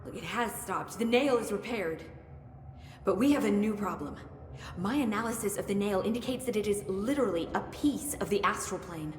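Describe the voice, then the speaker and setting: Game role: cautious female researcher, played by a woman, analytical and precise, medium-pitched, reverberant hall. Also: lively intonation, moderate pace, lightly acted. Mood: analytical, grave. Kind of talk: video game cutscene acting. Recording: echoey hall, faint noise.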